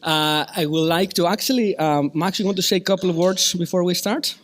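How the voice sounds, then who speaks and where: A man's voice: sits high, fairly even intonation, brisk, slight background noise. A man with a Greek accent chairing a conference session, giving a long, effusive introduction full of fillers and restarts. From Greece. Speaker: session chair at a scientific meeting.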